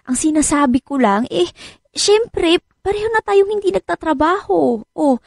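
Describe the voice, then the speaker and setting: An actress with a Filipino accent playing an anxious, practical wife in a radio drama, probing and gently pleading in a conversational way. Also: female voice, extremely high pitch, expressive, moderate pace, vocal fry, no room sound, studio quality, full character voice. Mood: worried, careful.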